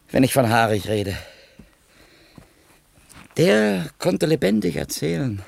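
An actor playing a courteous, talkative, enthusiastic publishing editor in a German radio drama from the 1970s. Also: male voice, mid-range voice, gravelly, slightly rough, dry recording, full character voice. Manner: effusive, courteous, articulate.

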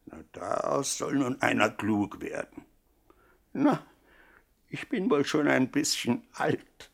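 An older man playing a grumbling, fretful old gangster grandpa with a cracked, raspy voice in a 1960s German radio comedy. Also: mid-range voice, very animated, slow pace, heavily acted.

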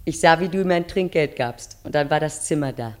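A woman's voice: sits low, a little fast, close to an everyday voice. An actress playing a weary, reproachful wife in a radio drama, wistfully recalling her youth and addressing her husband intimately. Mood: nostalgic, intimate.

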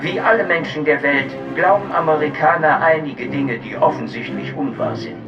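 An actor playing a propagandist who lectures in a declamatory way, filtered like an old broadcast in a radio drama. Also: male voice, fairly high pitch, normal speed. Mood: lecturing. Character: American-born propagandist lecturing in a preachy tone. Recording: dull, distant broadcast sound with music.

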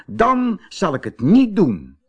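A man's voice: sits high, wildly swooping pitch, slow, creaky, breathy edge, voice pushed up, full character voice. Guy doing a young fairy tale lad, cunning and cheeky, voice goes up and swoops around, takes his time. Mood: bold demanding. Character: plucky, cunning young orphan boy.

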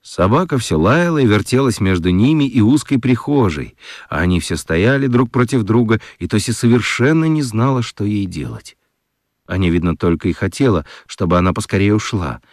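A man narrating a children's radio play in an expressive storytelling style. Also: lowish voice, moderate pace, no room sound, clean studio sound.

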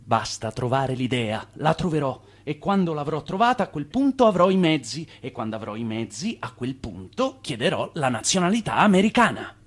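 An actor playing an effusive, charming Italian immigrant schemer and ardent suitor in a theatrical radio drama. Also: male voice, on the high side, very animated, normal speed, few pauses, slightly rough. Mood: dreamy, confident.

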